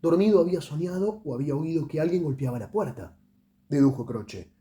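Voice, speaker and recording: A man narrates literary fiction as an audiobook reader. His voice sits a little high for a man, yet it is dark and mellow. He reads dramatically, letting phrases trail away into pauses. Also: lively intonation. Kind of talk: literary short story narration.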